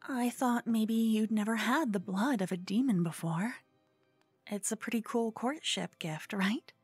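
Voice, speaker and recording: A woman playing a cute, obsessed con-woman fangirl in a comedic ASMR roleplay, soft, often whispery, eager and cheekily salesy. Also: a little high, unhurried, slightly rough, no echo, soft background music. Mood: hopeful, flirty. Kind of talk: ASMR roleplay, comedic one-sided dialogue.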